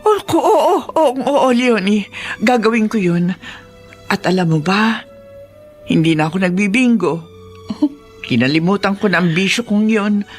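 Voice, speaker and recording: Humbled older Filipino matriarch played by an actress, pleading and emotional, melodramatic radio serial with music. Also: female voice, mid-range voice, lively intonation, unhurried, long pauses, natural voice. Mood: grateful, remorseful. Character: remorseful older mother-in-law, once mean, now humbled. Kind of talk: Filipino radio drama acting.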